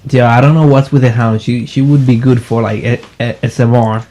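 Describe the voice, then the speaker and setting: A man's voice: medium pitch, steady tone, a little fast, dark tone. A man hosting a casual podcast with friends, teasing them and laughing often. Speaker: podcast host. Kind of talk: casual joking chat among friends.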